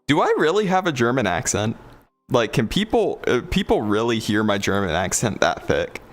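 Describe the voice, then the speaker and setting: A deep-voiced young man from the South, chatting casually on a livestream, rambling with fillers and laughing often. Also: big pitch swings, unhurried, soft background music. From Georgia, USA. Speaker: VRChat streamer. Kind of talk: casual livestream chat reading comments.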